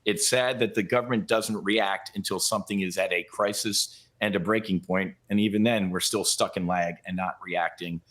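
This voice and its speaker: A man's voice: low-pitched, fairly even intonation, normal speed, few pauses, fairly bright tone. A middle-aged American man giving blunt, colloquial commentary as a pilot guest in a live news interview.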